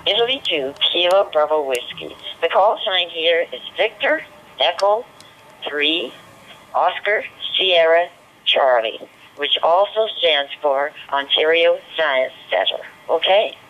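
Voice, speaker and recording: A man working as an amateur radio operator, speaking courteously with hesitant pauses over a digital two-way radio. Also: a little high, expressive, lo-fi sound. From Canada. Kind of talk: radio call exchange, demonstrating to visitors. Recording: noisy digital radio transmission.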